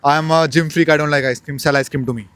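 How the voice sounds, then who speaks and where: A young man hosting a casual street vlog, teasing and animated. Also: fairly high pitch, quick pace, smooth. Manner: teasing, animated, mixes Hindi and English. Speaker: vlogger host.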